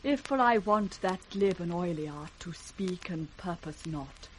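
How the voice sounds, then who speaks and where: A sincere, wronged princess in classical radio drama. She speaks slowly and gravely in a slightly low voice, formal and measured. There is a faint old-radio hiss. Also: animated, poor audio. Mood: pleading. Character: sincere, dignified princess, wronged youngest daughter.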